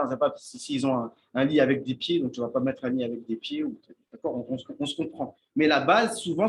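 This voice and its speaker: Halting male teacher, slightly raised pitch. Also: slight background noise. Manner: halting, thinks aloud, repeats and restarts words; checks in with listeners, asks "d'accord?". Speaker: Islamic teacher giving a talk on Arabic. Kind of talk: informal spoken religious lesson. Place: indoors at a shared meal with friends.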